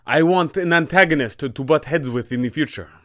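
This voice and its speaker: A man's voice: a little high, fairly quick, fairly bright tone, dry recording, clean studio sound, close to an everyday voice. Man acting out a suave, roguish pirate captain. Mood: taunting, gleeful. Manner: flamboyant, mock-polite phrasing.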